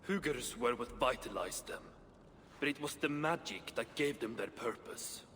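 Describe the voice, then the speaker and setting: A man's voice: medium pitch, moderate pace, fairly bright tone, lightly acted. An actor playing a sceptical, dry-humoured dwarf craftsman with a terse, dismissive way of talking in a video game. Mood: grave, gruff. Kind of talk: video game cutscene acting. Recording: long reverb, faint background noise.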